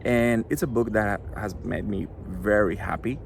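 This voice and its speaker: A middle-aged man from Cuba, a Spanish native speaker with a Cuban accent, talks earnestly to a school audience about his children's book, pausing often with fillers. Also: fairly low pitch, gravelly, a little hiss or hum. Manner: earnest, halting, many fillers. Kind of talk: recorded address to a school audience.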